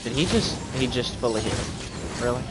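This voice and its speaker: A man's voice: medium pitch, expressive, fairly quick. A man giving casual gameplay commentary on a livestream, muttering asides to the game and its characters as he plays. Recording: loud game music behind voice. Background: loud video game music.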